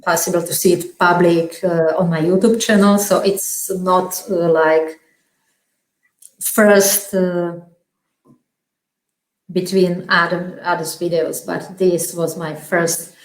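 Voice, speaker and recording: Slow-talking woman with a Slovak accent. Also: fairly low pitch, fairly even intonation, roomy sound, poor audio. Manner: halting, searching for words, frequent restarts and laughs. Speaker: interview guest. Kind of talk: casual interview chat.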